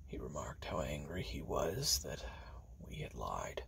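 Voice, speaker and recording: Man playing a gravelly, very deep whispering assassin. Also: sing-song, exaggerated voice. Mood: grim, reflective. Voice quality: gravelly, breathy, half-whispered. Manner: slow, halting, hushed, with long pauses. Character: weary male assassin recounting his memoirs on tape, grim. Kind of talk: slow confessional audio roleplay monologue.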